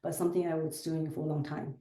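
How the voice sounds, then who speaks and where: A woman's voice: low-pitched, little pitch movement, quick pace, dark tone, roomy sound. A woman in her forties from southern China, speaking English with a Chinese accent as an interview guest, thoughtful and full of fillers. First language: Chinese dialect. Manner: thoughtful, with frequent fillers and self-corrections. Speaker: podcast guest. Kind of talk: casual interview conversation.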